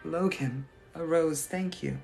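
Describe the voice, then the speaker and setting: A man's voice: fairly high pitch, takes it slowly, gravelly, darkish tone, soft background music. A man voicing the ghost of a young lady from the 1880s, speaking in a formal, old-fashioned and gracious manner. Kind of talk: improvised live roleplay answering viewers.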